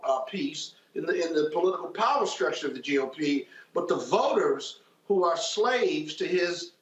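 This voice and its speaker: A high-pitched man delivers political commentary in an emphatic, measured way. His voice comes through dull, with plenty of room echo. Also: a bit bright. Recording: dull, echoey remote-guest audio.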